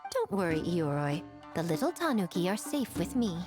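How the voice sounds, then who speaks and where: A sly fox woman in a video game. She sounds poised and haughty yet playful, with lilting, animated pitch and music behind her. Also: moderate pace. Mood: smug, composed. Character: sly, self-assured, teasing adult female kitsune. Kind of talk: English video game voice acting.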